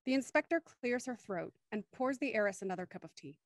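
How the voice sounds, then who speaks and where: A woman's voice: fairly high pitch, lively intonation, a little fast, dry recording. A woman reading scripted scene narration for an audio drama. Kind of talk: scripted narration of stage directions.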